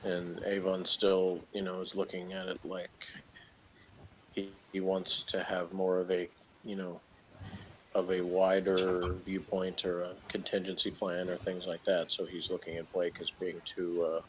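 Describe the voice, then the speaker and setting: A man's voice: sits low, monotone, a little slow, a little hiss or hum, poor audio. A man talking informally and hesitantly, meandering through his opinions in an unscripted review chat about television. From outside Britain.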